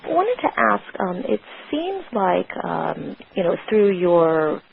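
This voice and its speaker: A woman's voice: on the low side, very animated, takes it slowly, gravelly, a crowd in the background. A woman financial analyst phoning in with business questions. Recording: narrow telephone line.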